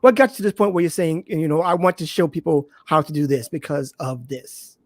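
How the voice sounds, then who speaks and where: A man's voice: fairly high pitch, a little fast, dry recording, clean studio sound. A man hosting a casual interview, encouraging his guest and often repeating phrases as he feels out each question. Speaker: interview host.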